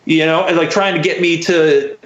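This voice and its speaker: A young American man telling personal stories casually as a podcast guest, in a halting, candid, profane way full of fillers. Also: fairly high pitch, steady tone, reverberant, highs cut off.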